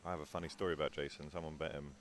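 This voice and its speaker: A man's voice: rumbling low, flat delivery, rapid-fire. A man commentating a pool match live, chatty and full of player anecdotes.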